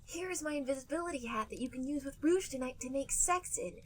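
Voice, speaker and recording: A woman voicing an excitable young male fox sidekick, shouting his lines in a comedy podcast reading. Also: high-pitched, fast, slight background noise, natural voice.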